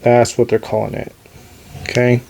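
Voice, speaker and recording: A man's voice: medium pitch, monotone, normal speed, gravelly, a little mellow, a little hiss or hum, rough recording. A man giving a casual, hands-on gadget demonstration as host, talking in a hesitant, unscripted, self-deprecating way. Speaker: host demonstrating radio gear.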